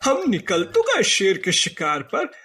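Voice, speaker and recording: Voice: medium pitch, wildly swooping pitch, slow pace, pushed and bright, breathy edge, soft background music, heavily acted. An actor playing a dim, cowardly strongman sidekick in a comedy cartoon, whiny and prone to stammering. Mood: eager, naive. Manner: whiny, stammering when scared. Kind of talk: exaggerated Hindi cartoon comedy acting.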